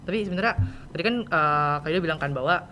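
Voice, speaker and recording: A man's voice: on the high side, fast, bright, forceful tone. A young man with a Jakarta Indonesian accent hosting a casual, chatty interview and talking straight to his listeners. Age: teenager or young adult. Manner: chatty, informal, addressing listeners directly. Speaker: podcast host. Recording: clear background noise, room ambience.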